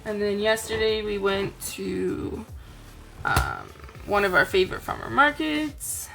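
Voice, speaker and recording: Tired raspy-voiced woman, slow and chatty. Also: mid-range voice, big pitch swings, soft background music. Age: adult, aunt. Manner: rambling, chatty, soft-spoken. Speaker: crafting channel host. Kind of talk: casual chat to camera.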